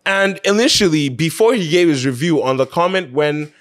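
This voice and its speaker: A man's voice: a little high, moderate pace, a bit bright. A man hosting an opinion show, talking casually and emphatically in a conversational way, repeating phrases to drive his points home.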